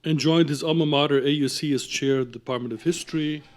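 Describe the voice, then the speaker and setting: A man giving a formal, measured introduction as the director of an academic programme, partly reading it aloud and often clearing his throat. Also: on the high side, fairly even intonation.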